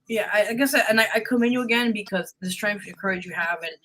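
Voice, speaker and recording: Podcast host, female, bright forceful voice, conversational and affirming interview delivery. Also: mid-range voice, fast talker, rough recording.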